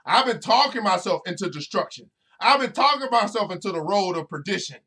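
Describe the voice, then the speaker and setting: A man's voice: high voice, expressive, a little fast, bright, forceful tone, husky. A man hosting a motivational podcast, preaching to his listeners directly and repeating phrases over and over for emphasis. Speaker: financial educator and podcast host.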